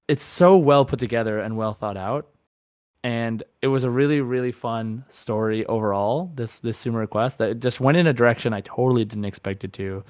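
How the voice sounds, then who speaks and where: A man co-hosting a podcast, chatting casually about a video game in a halting, repetitive way as he searches for words. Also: fairly low pitch, clean tone, no echo, clean studio sound. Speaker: podcast co-host.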